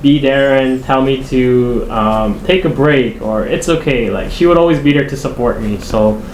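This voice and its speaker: A young man, a university student who grew up in the Philippines, co-hosting a podcast and talking in a chatty, lively way with laughs. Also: medium pitch, normal speed, echoey room, a little hiss or hum, poor audio. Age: young adult. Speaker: podcast co-host. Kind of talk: casual chat.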